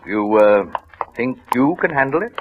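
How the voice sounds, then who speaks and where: An actor playing a fat, pompous middle-aged company boss in a 1940s radio drama, hearty and condescending. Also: male voice, mid-range voice, lively intonation, moderate pace, close to an everyday voice. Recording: dull old radio broadcast with faint hiss.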